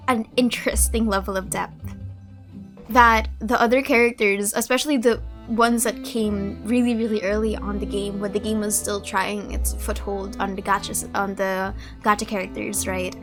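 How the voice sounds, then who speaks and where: A woman with a fairly high voice reacts to a game story over background music. She talks casually and gushingly, stopping and repeating herself, emotional and tearful. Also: fairly even intonation, a bit bright. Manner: halting, gushing, repeats words. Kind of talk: casual emotional gameplay commentary.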